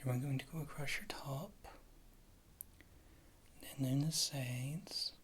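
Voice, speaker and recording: A woman playing a wry witch healer in an ASMR fantasy roleplay, speaking softly and often slipping into a whisper, in a rambling, casual, reassuring way. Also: rumbling low, fairly even intonation, takes it slowly, slightly rough, exaggerated voice. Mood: soothing, focused. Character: wry, thrifty witch healer, a former adventurer.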